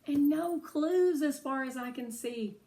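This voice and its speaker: A woman reading a children's story aloud as an expressive storyteller, with animated, dramatic delivery. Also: high voice, unhurried, distant mic.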